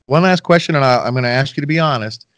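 Rambling middle-aged podcast host, dry sound. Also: male voice, medium pitch, fast, fairly bright tone, no echo. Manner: rambling, self-deprecating, folksy asides to listeners. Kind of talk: casual interview chat. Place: home room, video call. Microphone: headset with boom mic.

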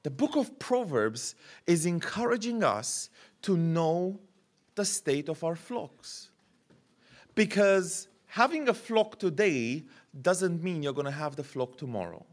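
A man in his thirties preaching a sermon at a podium mic in a church hall, animated and gesturing, often repeating words as he builds a point. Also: on the high side, slow pace. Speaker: pastor. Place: church hall with curtained stage.

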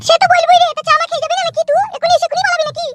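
Performer as talkative village cousin girl, bright pitched-up voice, rural West Bengal accent, comic cartoon. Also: flat delivery, very fast, soft background music. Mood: friendly, inquisitive. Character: chatty, teasing young village cousin, female. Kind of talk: comedy cartoon dialogue.

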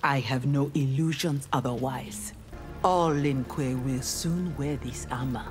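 An actress playing a proud, earnest young armored ninja woman in a video game, speaking in formal, measured, resolute lines. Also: extremely low pitch, darkish tone, faint music behind. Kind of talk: dramatic video game dialogue.

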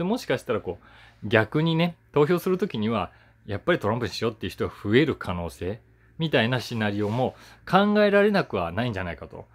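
A man's voice: mid-range voice, breathy edge. A man in his forties chatting casually to camera in a musing, conversational way, stressing the starts of his sentences. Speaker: voice actor hosting his own talk channel.

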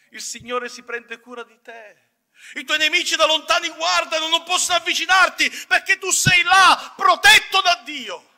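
A man in his fifties preaching a sermon in an emphatic, fervent manner at a church pulpit microphone. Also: very high-pitched. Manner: emphatic, swinging between measured phrases and fervent shouts. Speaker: evangelical preacher. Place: church hall, indoors at a pulpit. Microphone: pulpit gooseneck microphone. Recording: reverberant hall.

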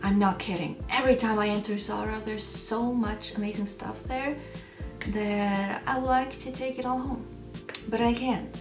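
A young woman from Switzerland hosting a casual Q&A vlog from her bedroom, talking to the camera in a chatty, slightly self-conscious way with pauses and restarts. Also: medium pitch, fairly even intonation, reverberant, far from the mic, music in the background. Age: young adult. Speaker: channel host. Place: bedroom at home, indoors.

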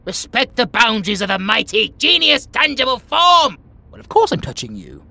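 A man's voice: very high voice, wildly swooping pitch, normal speed, creaky, heavy background noise, heavily acted. A British man voicing a haughty, bratty but secretly affectionate young tiger boy in a comedic audio roleplay, with pompous, theatrical outbursts. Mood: bossy, outraged.

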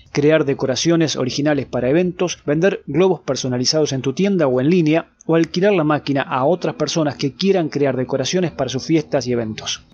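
A man reading a scripted explainer as a video host, in a presentational, clearly enunciated way. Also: fairly high pitch, darkish tone, muffled recording.

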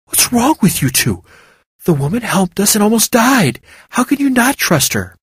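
A narrator voicing a weakened but kind young woman healer who speaks gently and reasonably. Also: medium pitch, big pitch swings, normal speed, husky, no echo, studio quality, voice pushed up. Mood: reasoning.

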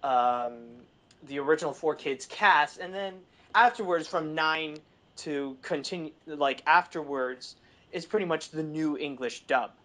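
A man in a casual film discussion, speaking haltingly and searching for his words, often saying "like". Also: a little high, sharp tone. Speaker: podcast panelist.

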